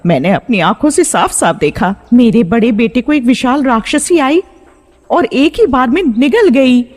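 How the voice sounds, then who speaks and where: A woman's voice: on the high side, lively intonation, normal speed, a little mellow. A woman playing a distraught village woman in a cartoon horror tale. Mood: distraught, insistent. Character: distraught village woman recounting supernatural abductions. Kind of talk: cartoon horror story dialogue. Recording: long reverberant hall echo.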